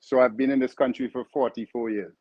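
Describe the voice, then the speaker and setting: A man's voice: medium pitch, fairly even intonation, dry recording. Retired man from Canouan, muffled line. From Canouan, Saint Vincent and the Grenadines. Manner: laughing, halting with fillers. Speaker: guest. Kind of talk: casual interview chat.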